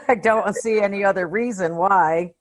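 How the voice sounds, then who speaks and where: A woman's voice: mid-range voice, unhurried. A woman co-hosting a casual film discussion, talking in a blunt, slangy way. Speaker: podcast co-host.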